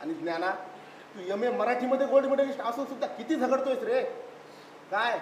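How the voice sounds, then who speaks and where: A man's voice: extremely high pitch, fairly quick. A man playing a pragmatic, argumentative elder brother in a live stage play, matter-of-fact and insistent. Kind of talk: live one-act stage play acting. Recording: echoey stage recording, faint noise.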